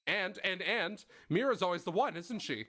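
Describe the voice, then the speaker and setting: A man's voice: animated, moderate pace, pushed and bright, exaggerated voice. A man voicing an anxious young male traveller trapped in a time loop, firing off rising, desperate questions. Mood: urgent. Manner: rising frantic questioning, voice climbing in pitch. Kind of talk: fan voice acting of game dialogue.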